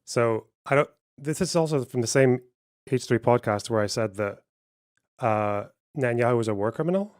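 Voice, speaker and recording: A man's voice: mid-range voice, normal speed. A man hosting a heated online debate from his stream, combative and pressing, often cutting in to demand answers.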